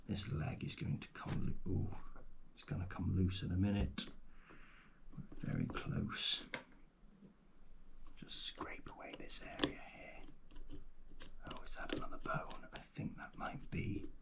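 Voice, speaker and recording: A man speaking softly and gently in an ASMR roleplay as a guide, often slipping into a breathy whisper, murmuring without hurry. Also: low voice, wildly swooping pitch, measured pace, long pauses. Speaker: host playing a paleontologist guide. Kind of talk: ASMR roleplay, soft narration of a fossil dig.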